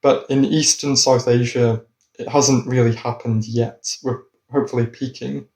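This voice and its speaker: A man's voice: mid-range voice, little pitch movement, a little mellow. Man explaining in a roomy echo. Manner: measured and explanatory. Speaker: climate scientist. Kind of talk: interview answers.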